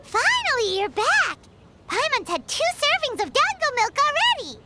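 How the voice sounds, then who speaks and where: A tiny, childlike fairy companion from a video game. The voice is extremely high and bright, swooping widely in pitch. The delivery is bubbly and excitable. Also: female voice, moderate pace, exaggerated voice. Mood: excited. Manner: speaks of self in third person, bubbly. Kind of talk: video game character acting.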